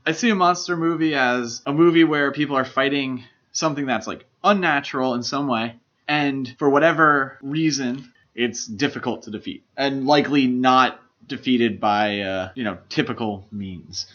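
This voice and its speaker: A man's voice: mid-range voice, moderate pace, few pauses, fairly bright tone, muffled recording. A man co-hosting a podcast, talking casually about films. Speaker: podcast co-host. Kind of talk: casual film discussion.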